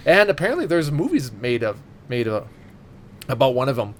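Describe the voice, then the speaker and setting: A man's voice: mid-range voice, big pitch swings, normal speed, slight background noise. A man chatting casually as a podcast co-host, rambling and enthusiastic, with plenty of swearing. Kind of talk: casual chat with friends.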